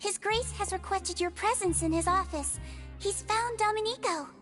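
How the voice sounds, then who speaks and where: Tiny high-pitched messenger creature. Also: female voice, normal speed, a bit bright, soft background music. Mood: polite, dutiful. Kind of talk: video game dialogue acting.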